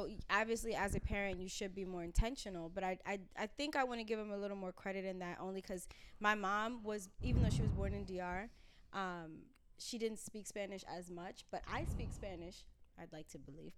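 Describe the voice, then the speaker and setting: A woman's voice: mid-range voice, fairly quick, noisy background. A woman in a casual panel chat who talks bluntly, swears freely and hesitates with ums.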